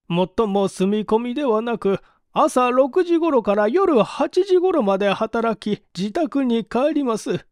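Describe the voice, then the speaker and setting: A man's voice: very high voice, no room sound, clean studio sound, voice pushed up, full character voice. A man voicing a polite village housemaid in her fifties who gives testimony in a mystery audiobook. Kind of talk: audiobook reading of a vintage mystery.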